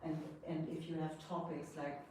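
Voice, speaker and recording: A woman's voice: low voice, level tone, normal speed, dark tone. A woman panelist speaking in a hesitant, thoughtful way in a roundtable discussion. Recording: echoey room, distant mic, noise.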